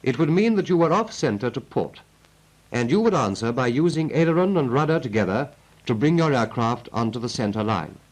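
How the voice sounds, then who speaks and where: A man's voice: medium pitch, sing-song, brisk, low-quality recording. A British man narrating a 1940s military training film as an instructor, in a clipped, methodical and instructive manner.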